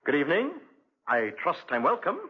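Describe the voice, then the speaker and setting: An actor playing a bitter, vengeful man in a theatrical 1940s radio drama style, with a husky voice. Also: fairly high pitch, sing-song, fairly quick, a little hiss or hum. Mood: gloating, vengeful. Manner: theatrical, gloating then brooding. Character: bitter, vengeful man consumed by revenge and conscience. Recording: dull, muffled 1940s radio recording.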